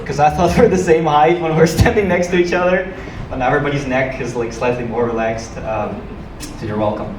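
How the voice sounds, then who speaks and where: A man from Ukraine performing stand-up comedy to a live audience with a dry, joking delivery and hesitant pauses. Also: medium pitch, steady tone. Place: small comedy club stage, live audience. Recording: roomy, noisy club recording from a distance.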